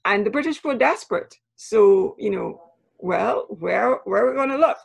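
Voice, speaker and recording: A woman's voice: fairly high pitch, animated, vocal fry, breathy edge. A woman from Trinidad with a Trinidadian accent, a professor explaining patiently in an interview and often checking in with "right?". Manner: measured, spells out terms, checks in with "right?". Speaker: anthropology professor, guest.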